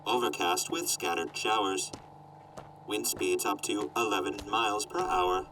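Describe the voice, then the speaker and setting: A man's voice: on the low side, a little slow, sharp tone, far from the mic, slight background noise, poor audio. A man voicing a formal, emotionless robot companion announcing in a scripted post-apocalyptic audio drama. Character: robot companion delivering clipped, formal weather forecasts.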